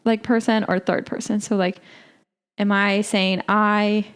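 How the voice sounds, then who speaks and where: A young adult woman co-hosting a podcast, talking in a reflective, halting way with frequent filler words. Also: medium pitch, flat delivery, smooth. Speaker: podcast co-host. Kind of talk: casual chat.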